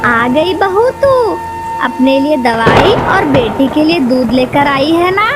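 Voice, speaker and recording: A woman's voice: high-pitched, animated, takes it slowly. A woman voicing a worried old mother-in-law crow in a children's cartoon, fretting and complaining. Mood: weary. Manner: fretful, complaining. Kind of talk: Hindi children's cartoon dialogue. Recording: loud music behind the voice.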